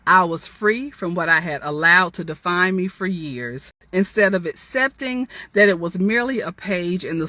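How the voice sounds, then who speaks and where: A woman's voice: fairly low pitch, pushed and bright. A woman author giving an inspirational storytime talk, reading from her own memoir with chatty, testifying asides that address listeners directly.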